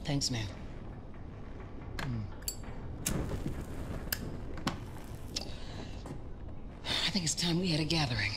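An actress playing a tough, commanding American resistance leader in a video game, brash, slangy and profane. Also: female voice, low voice, expressive, normal speed, big-room sound, background noise. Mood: brisk, commanding. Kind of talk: video game cutscene acting.

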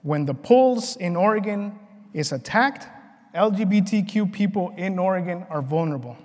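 A man with a notably high-pitched voice gives a prepared keynote address. His delivery is earnest and deliberate, set out in measured phrases. The sound carries the long reverb of a large conference hall. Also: expressive, a little mellow. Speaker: keynote speaker. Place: large conference ballroom, on stage. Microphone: lapel mic at a podium.

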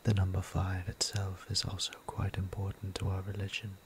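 Guy doing a soft whispery vampire priest for asmr, caring and formal, super even tone, noisy ambience behind. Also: deep voice, close to an everyday voice. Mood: reverent, explaining. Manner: formal, hushed, unhurried, tender, with deliberate pauses. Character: gentle, courteous male vampire priest, devoted caretaker. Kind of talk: intimate ASMR roleplay, mostly whispered. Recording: clear ambient background noise.

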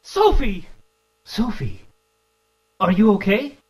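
Horror-game agent, anguished, resolute, stilted, very slow, animated pitch. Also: male voice, exaggerated voice. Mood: alarmed. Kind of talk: arcade game voice clips, stilted acting.

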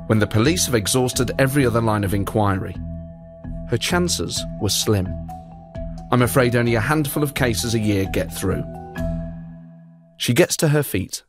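A man narrating a true-crime story as podcast host, with dry, wry asides. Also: medium pitch. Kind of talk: scripted storytelling narration with banter.

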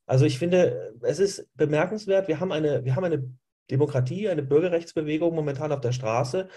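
A middle-aged man talks earnestly on a video call, filling his pauses with ums and ahs. His voice is husky and mellow, at an ordinary male pitch, picked up by a home webcam mic. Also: animated. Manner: earnest, with frequent fillers. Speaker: activist guest. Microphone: laptop or webcam mic on a video call.

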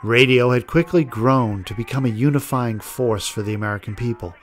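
A man's voice: medium pitch, fairly quick, faint music behind. A man hosting a podcast with scripted documentary narration. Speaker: podcast host.

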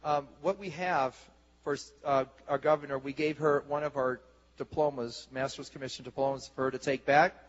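A man hosting a church service on stage, rousing the congregation with exuberant, excited talk into a handheld mic. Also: medium pitch, normal speed. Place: large church sanctuary stage. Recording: lo-fi camcorder recording in a reverberant hall.

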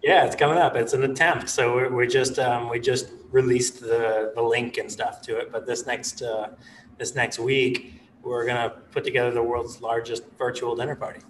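A man in his thirties, an executive chef, speaking in a relaxed, rambling way on a video call from his living room. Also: medium pitch, normal speed, recorded from a distance, a little hiss or hum, poor audio. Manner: relaxed, rambling, many "you know" and "like" fillers. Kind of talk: casual interview over video call. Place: living room with a stone fireplace.

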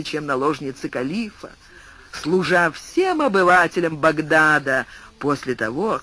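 A man reading a classic tale aloud as an audiobook narrator, in expressive scripted storytelling. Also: on the high side.

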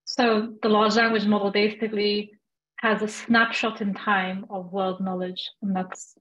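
A young woman with a medium-pitched voice hosts an online Q&A. She talks informally as she relays questions, and the call audio is slightly muffled and lo-fi. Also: little pitch movement, measured pace, fairly bright tone. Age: young adult. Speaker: webinar moderator. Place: indoors, on a video call. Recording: dull, lo-fi video call audio.

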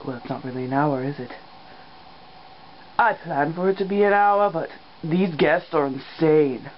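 A woman's voice: deep voice, a little slow. A woman voicing a boastful, easily exasperated male vampire who hosts an amateur comedy show, with theatrical announcing and flustered asides. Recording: muffled lo-fi audio, faint noise.

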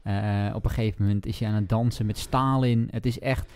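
A man's voice: fairly low pitch, steady tone, brisk, darkish tone, smooth. A man co-hosting a podcast in a casual discussion about books. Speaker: podcast co-host.

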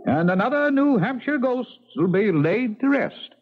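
An actor playing a folksy, gossipy old New Hampshire local in a rambling rustic manner for a 1970s radio drama. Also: male voice, very high voice, big pitch swings, measured pace, exaggerated voice. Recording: lo-fi 1970s radio, faint noise.

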